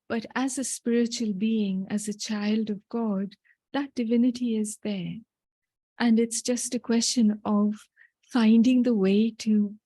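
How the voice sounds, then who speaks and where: Woman, from Italy, mellow voice, dry close sound, thoughtful, reassuring guest speaker. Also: mid-range voice, no echo. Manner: thoughtful, reassuring, often adds "you know". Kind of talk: answering audience question in a spiritual talk.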